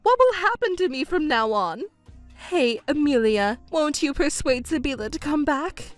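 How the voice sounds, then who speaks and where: A woman's voice: high-pitched, very animated, moderate pace, soft background music. A woman voicing a selfish, self-pitying middle-aged mother in a scripted family drama, whiny and indignant in a melodramatic way. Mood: selfish panic.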